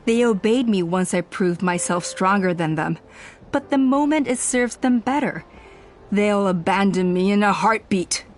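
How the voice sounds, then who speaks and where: An actress playing a proud, self-righteous clan elder woman in a video game, speaking in measured, formal, persuasive speeches. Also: medium pitch, expressive, close to an everyday voice. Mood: scornful. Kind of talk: scripted video game voice acting. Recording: music under the voice.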